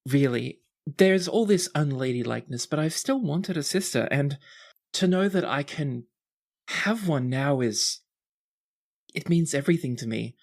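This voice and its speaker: A man's voice: fairly high pitch, expressive, measured pace, vocal fry, darkish tone, full character voice. A man puts on an earnest young princess for a tabletop fantasy game. She speaks in effusive, formal courtly phrases, sweet and proper with mischief underneath. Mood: sincere.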